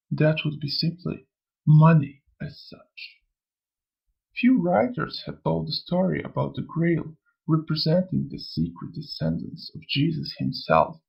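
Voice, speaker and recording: A man voicing a sly, amused old spirit in a motion comic, lecturing theatrically in a gravelly, husky voice. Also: fairly high pitch, lo-fi sound, full character voice. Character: sly, amused old male spirit who preaches and taunts. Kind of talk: scripted motion comic dialogue.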